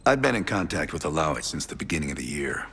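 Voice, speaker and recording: An actor playing a weary, guarded intelligence operative in a military game, with a gravelly, husky voice. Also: male voice, rumbling low, sing-song, quick pace, a bit bright, long reverb tail, faint music behind, exaggerated voice. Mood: grim, guarded. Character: weary, guarded male CIA operative on the run. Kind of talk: cinematic military game acting.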